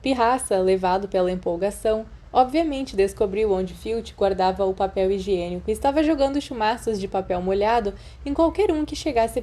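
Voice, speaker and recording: A Brazilian woman narrates a story in a mellow, soft-edged tone. Her pitch sits in the middle range for a woman, and she reads as one telling an audiobook tale. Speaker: podfic narrator.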